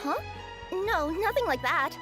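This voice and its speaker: A young cat yokai courier girl with a very high, girlish voice. She is earnest, friendly and easily flustered, in anime game style, with clear music behind her. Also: lively intonation, a little slow. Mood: sheepish. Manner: eager, apologetic, flustered.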